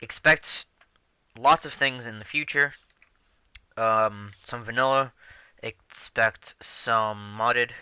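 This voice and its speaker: Young guy chatting into a headset, super bright crisp voice, kinda lo-fi, lots of ums. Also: medium pitch, takes it slowly, long pauses, dry recording. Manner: rambling, many ums, self-interrupting. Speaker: gaming channel host. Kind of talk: casual gameplay commentary.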